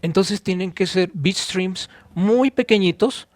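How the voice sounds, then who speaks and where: A man with a Mexican accent explaining things informally on a podcast, didactic, pausing and posing rhetorical questions. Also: high voice, no echo. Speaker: podcast co-host and audio expert.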